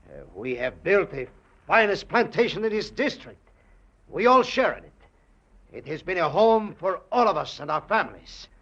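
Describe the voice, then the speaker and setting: An actor playing a humble, loyal plantation foreman who speaks haltingly and earnestly in old radio-drama style. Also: high-pitched, very animated, fairly bright tone, full character voice. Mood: anxious, loyal. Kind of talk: 1940s-50s radio drama acting. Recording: muffled vintage radio recording.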